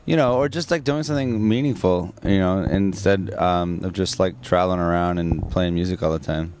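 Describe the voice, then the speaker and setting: A man's voice: low voice, normal speed, darkish tone, slight background noise. A man, singer of a rock band, answering interview questions in a rambling, casual way with many restarts and fillers.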